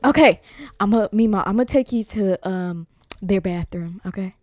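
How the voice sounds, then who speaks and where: A woman's voice: mid-range voice, moderate pace, a little mellow, no echo, clean studio sound, close to an everyday voice. A woman in an improvised game roleplay, playing a flustered, bossy young woman in a dramatic, exclamatory way. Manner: dramatic, exclamatory, repeats words. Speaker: player voicing a horror-comedy parody.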